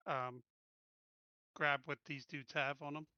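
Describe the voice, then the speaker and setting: A man's voice: a little high, little pitch movement, slow pace, bright, forceful tone, dry recording, dull audio. A man talking casually as a player during a tabletop game session.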